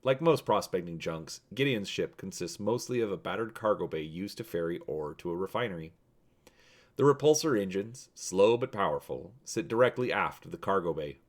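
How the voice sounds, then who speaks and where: A man's voice: sits low, expressive, normal speed. A man hosting and narrating a dramatic reading of an adventure storybook. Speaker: host and narrator.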